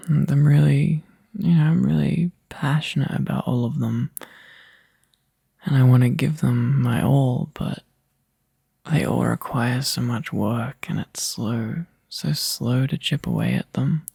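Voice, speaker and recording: A man playing a tired, overworked young boyfriend in a soft, intimate ASMR roleplay, halting and breathy, often dropping into a whisper close to the mic. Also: mid-range voice, fairly even intonation, dry recording, heavily acted. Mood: weary, apologetic. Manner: halting, drowsy, sighing delivery. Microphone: close microphone, dry.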